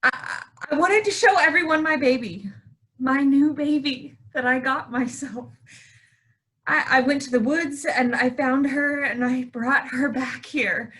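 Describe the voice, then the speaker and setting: A woman's voice: sits high, unhurried, pushed and bright. A woman acting a grief-stricken, unhinged wife in a staged play reading. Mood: brittle, fragile. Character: grief-stricken, unhinged wife clinging to stolen baby deer.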